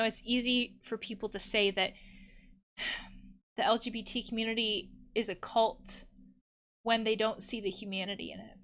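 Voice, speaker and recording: A woman's voice: on the high side, fairly bright tone. A woman hosting a game playthrough, thinking aloud in a reflective, conversational way. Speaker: host of a gaming channel, therapist. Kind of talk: let's play commentary, reading game text aloud.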